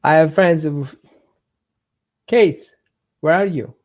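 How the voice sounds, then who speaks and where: A man's voice: fairly high pitch, slow, a little mellow, lo-fi sound. A man with an Austrian accent hosting a casual live dog-training webinar, chatting with viewers, repeating himself and greeting people by name.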